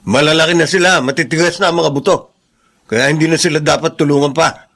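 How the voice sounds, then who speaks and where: A selfish Filipino father in a radio drama. His voice sits a bit high, and he talks back curtly, irritable and on the defensive. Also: fairly even intonation, fairly quick, gravelly, fairly bright tone. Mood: irritated, defensive. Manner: curt, irritable.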